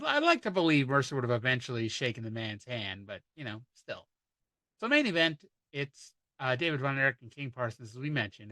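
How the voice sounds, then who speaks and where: Male podcast host, casual wry recap with profanity, bright tone, dry sound. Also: medium pitch, no room sound. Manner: wry, profane, rambling asides.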